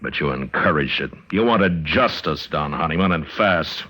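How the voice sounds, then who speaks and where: An American actor playing a stern, weary frontier lawman, grave and deliberate, in an old-time radio drama. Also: a bass voice, animated, pushed and bright, heavily acted. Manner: grave, deliberate, plain-spoken. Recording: dull vintage radio recording.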